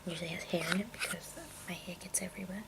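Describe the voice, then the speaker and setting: A woman speaking in a breathy whisper in an ASMR style, softly describing things and repeating gentle words. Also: on the low side, fairly even intonation, heavy background noise. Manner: slow, repeats soft words as trigger sounds. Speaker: ASMR video creator. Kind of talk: ASMR, softly narrating personal makeup items.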